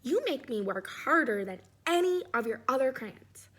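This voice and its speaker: A woman's voice: high voice, very animated, moderate pace, a bit bright, heavily acted. A woman voicing an overworked, put-upon red crayon in a storybook, complaining with emphasis. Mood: weary complaint.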